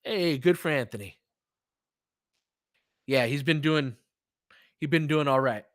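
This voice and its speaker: A man's voice: fairly high pitch, unhurried, no room sound, studio quality. A man hosting a live sports stream, chatting casually and informally, thinking aloud as he gives his commentary. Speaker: sports podcast host. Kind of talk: casual live basketball commentary, replying to chat.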